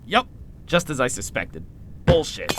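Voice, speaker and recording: Actor as blustering, goofy bad-cop reporter, swinging pitch. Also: very animated, unhurried, very noisy. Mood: suspicious, blustering. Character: impulsive small-town reporter playing bad cop. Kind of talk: audio fiction podcast acting.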